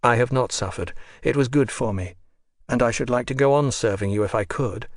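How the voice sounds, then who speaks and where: A man reading the part of a remorseful, earnest nobleman in an audiobook, in a measured, sincere voice. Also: mid-range voice, no echo, clean studio sound, natural voice.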